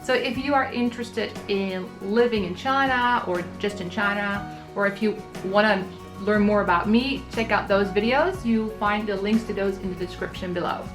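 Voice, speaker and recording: A woman from the Netherlands in her thirties giving travel tips in a scripted vlog, explaining clearly and practically. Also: medium pitch, moderate pace, over music. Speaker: travel vlogger.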